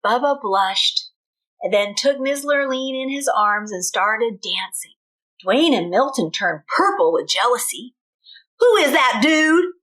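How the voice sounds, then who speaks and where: A woman reading a children's storybook aloud in an expressive manner, as a teacher does for young children. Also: mid-range voice, unhurried, rough recording.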